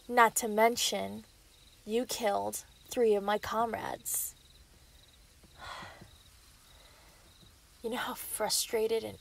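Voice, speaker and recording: A woman playing a possessive, mocking yandere werewolf in an ASMR roleplay, taunting and gloating with drawn-out threats. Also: mid-range voice, gravelly, a little hiss or hum. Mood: mocking, resentful. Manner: taunting, drawn-out, laughing delivery with whispered threats. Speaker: roleplay voice actor. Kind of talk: scripted ASMR roleplay acting.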